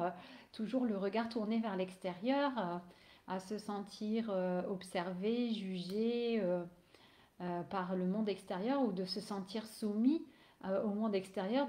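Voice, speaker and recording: Woman with a cold, mellow voice. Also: mid-range voice, echoey room. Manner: hesitant, many fillers and restarts. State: has a cold, losing her voice. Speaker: energy healer. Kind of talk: casual live stream monologue.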